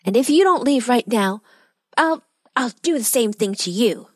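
A woman voicing a lonely old woman who acts like a threatening witch, loud and angry at first, then quiet and sad. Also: on the high side, lively intonation, normal speed, no echo, clean studio sound, pitched above the performer's own voice.

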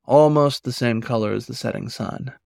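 A man reading his own fiction as a narrator, in a measured, literary way. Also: medium pitch, creaky, darkish tone, no room sound, clean studio sound. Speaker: author reading own story. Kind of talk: scripted story narration.

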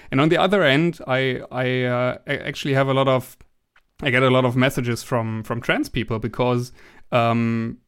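German-accented man, smooth hesitant speech. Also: mid-range voice. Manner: hesitant, many restarts and fillers. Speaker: guest. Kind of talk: casual interview conversation.

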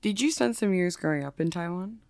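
Female podcast host, low gravelly voice, thoughtful and gentle. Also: lively intonation, a little fast. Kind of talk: interviewing.

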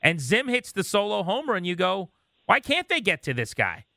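A man hosting a sports radio interview, talking conversationally and recalling games in an animated way. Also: high-pitched, moderate pace, creaky, no echo, studio quality.

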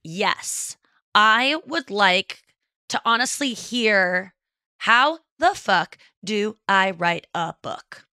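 A woman's voice: mid-range voice, expressive, drawn-out words, pushed and bright, no echo, clean studio sound. A woman comedian as a talk show guest, speaking candidly and self-deprecatingly and laughing easily. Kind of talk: casual interview chat.

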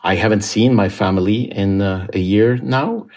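Man, low voice, reflective monologue, quiet home office, thoughtful pauses. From Syria and Lebanon. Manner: calm, thoughtful, with frequent "uh" pauses. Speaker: aid worker telling his story.

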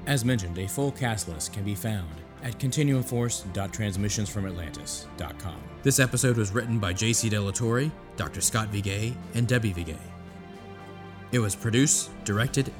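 Low-voiced male announcer over music. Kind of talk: scripted credits narration.

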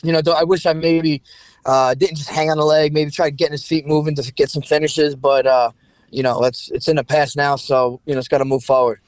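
Fast-talking man in his thirties. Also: on the high side, level tone, no room sound, low-quality recording. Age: 30s. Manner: halting, repeats words, many "you know" fillers. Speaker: guest fighter. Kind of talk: casual podcast chat.

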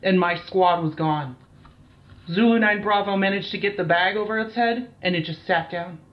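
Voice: mid-range voice, normal speed, natural voice. An actor playing a shaken, foul-mouthed military team captain in an audio drama, blunt and weary, on a slightly dull recording. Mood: grim, weary. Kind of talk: dramatised incident interview, audio drama acting.

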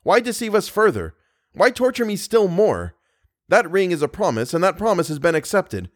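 A lovesick young gentleman from a classic novel, as a male reader plays him. He is courteous and anxious, speaking formally with impassioned, widely moving pitch in a dry recording. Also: sing-song, a little fast, no echo, natural voice. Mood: urgent, insistent.

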